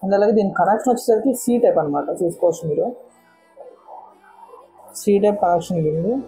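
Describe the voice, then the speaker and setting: A woman's voice: medium pitch, fairly quick, warm tone, breathy edge, faint music behind, low-quality recording. A woman vlogger giving a casual, step-by-step product walkthrough, often repeating words as she explains. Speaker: motorcycle vlogger and product reviewer.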